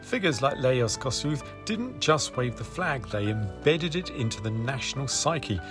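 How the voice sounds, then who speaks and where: A man's voice: medium pitch, sing-song, moderate pace. A man hosting a history video, narrating a script with dry, wry asides. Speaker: video host. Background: background music.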